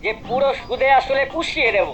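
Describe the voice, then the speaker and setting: A man's voice: very high-pitched, fairly quick, fairly bright tone. A man voicing a scheming, cocky cartoon troublemaker in an exaggerated style. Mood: gleefully scheming. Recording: muffled, band-limited sound under music.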